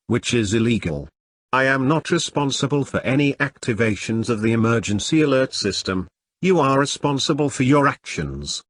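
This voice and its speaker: A man narrates a script in a stiff, literal way. His mid-pitched voice moves up and down a lot, and the sound is very dry with no room around it. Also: a bit bright, no echo. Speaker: video narrator.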